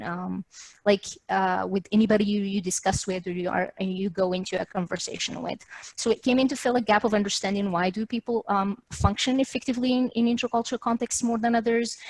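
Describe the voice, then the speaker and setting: Young woman w explaining her research on slides, kinda hesitant, rewords stuff, dry sound, medium pitch. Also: dry recording, rough recording. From Morocco. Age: young adult. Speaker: doctoral student presenting research. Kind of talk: online academic slide presentation. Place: virtual meeting, video call.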